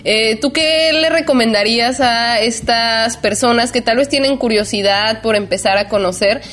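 A woman journalist with a Mexican accent leads a conversational interview. Her voice sits a little higher than most women's. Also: normal speed, fairly bright tone.

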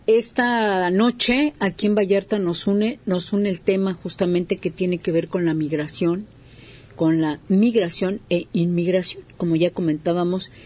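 A woman's voice: lowish voice, darkish tone. A woman hosting a talk show, conversational and full of little fillers.

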